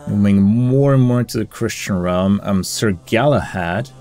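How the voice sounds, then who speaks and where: Low-voiced man musing over a game, music behind. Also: normal speed, warm tone. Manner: mutters through options, reading game text aloud. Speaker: gamer hosting a playthrough. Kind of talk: casual gameplay commentary, thinking aloud.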